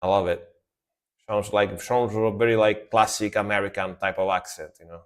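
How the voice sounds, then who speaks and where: Young adult male, Italian accent, lowish voice, casual and excitable music-reaction streamer. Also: normal speed. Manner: enthusiastic, rambling, repeats words like "sick".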